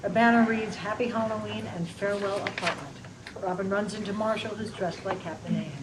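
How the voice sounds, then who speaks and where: A woman's voice: mid-range voice, fairly even intonation, fairly quick. Woman reading stage directions from afar. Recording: distant mic, noisy room.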